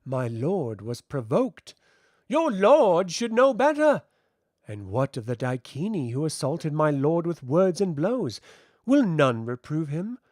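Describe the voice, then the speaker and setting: A man's voice: on the high side, very animated, slow, a little mellow, dry recording, studio quality. A man voicing a haughty, very tall fairy queen, imperious, cold and mocking, in a fantasy audiobook. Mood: icy, imperious.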